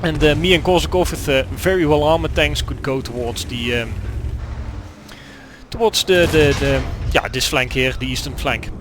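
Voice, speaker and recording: A young man with a Dutch accent, Dutch his first language, commenting on a gameplay replay in a halting way with many fillers and restarts. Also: on the high side, noisy background. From Netherlands. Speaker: gaming commentator.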